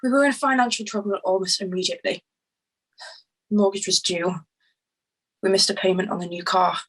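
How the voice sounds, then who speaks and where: An actress in a screenplay table read playing an anxious, impatient woman whose partner was abducted, sharp-tongued and exasperated. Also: mid-range voice, fairly quick, close to an everyday voice, rough recording. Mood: bitter, weary.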